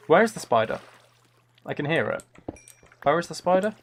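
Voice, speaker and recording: A man's voice: fairly high pitch, big pitch swings, a little slow, a little hiss or hum. A man chatting casually as he live-streams a game, thinking aloud in an easygoing running commentary. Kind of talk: casual chat while playing a game live.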